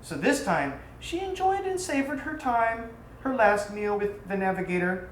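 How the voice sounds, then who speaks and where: A woman's voice: on the high side, sing-song, moderate pace. Woman storyteller in an echoey room. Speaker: storyteller reading aloud. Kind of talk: scripted narration of an exotic tale. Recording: roomy echo, faint background noise.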